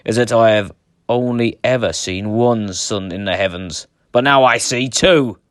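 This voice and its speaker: A man voicing a wary, observant Viking warrior in a storybook, deliberate and portentous. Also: fairly low pitch, no echo, lightly acted. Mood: ominous.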